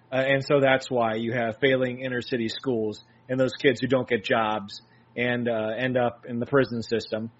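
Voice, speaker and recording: A man co-hosting a political talk show, laying out his argument steadily and point by point. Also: medium pitch. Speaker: co-host. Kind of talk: political commentary chat. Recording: dull lo-fi sound.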